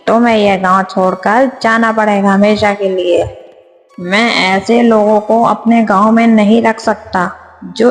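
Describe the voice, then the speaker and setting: A woman playing a stern, righteous male village headman in a Hindi moral-story cartoon. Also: mid-range voice. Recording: long reverb, music behind.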